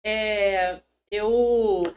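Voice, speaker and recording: A woman's voice: medium pitch, extremely slow, clean tone. Chatty middle-aged woman, podcast guest. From São Paulo, Brazil. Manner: animated, chatty, self-interrupting storyteller. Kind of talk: casual interview chat.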